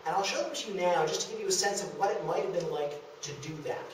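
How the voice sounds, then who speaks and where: A man's voice: high voice, fast talker. A young man giving a prepared history lecture to the public. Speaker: presenter of a local history talk. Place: indoor meeting room in a historic house. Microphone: distant camera microphone. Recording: echoey room from far off, faint noise.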